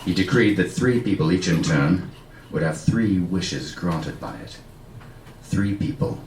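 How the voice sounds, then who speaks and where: A man playing a grave, haunted old sergeant major in an old-time horror radio play, ominous and halting. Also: sits low, lively intonation, creaky, a little hiss or hum, exaggerated voice. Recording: roomy distant stage recording. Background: loud music.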